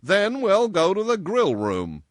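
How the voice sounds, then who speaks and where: An audiobook businessman, acted out by a male reader, brusque and commanding. He pushes his voice up high and swings it emphatically, giving blunt orders with a solicitous streak. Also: huge pitch swings, takes it slowly, a bit bright, dry recording, studio quality, exaggerated voice. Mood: insistent.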